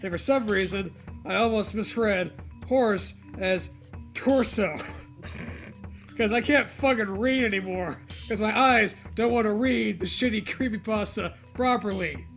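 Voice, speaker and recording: A man with a high, bright voice reads a story aloud as a comedy co-host. He keeps stepping out of the text for casual, profane riffs. Light music plays behind him. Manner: jokey, sweary, breaks off to riff.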